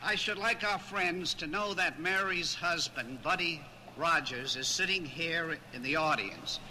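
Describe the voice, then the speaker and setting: A man with a very bright, forceful voice, pitched high, hosts a ceremony from a podium. He speaks in formal introductions, with faint noise on the archival recording. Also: a little slow, poor audio. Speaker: master of ceremonies. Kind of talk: formal public introduction speech. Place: podium before an audience.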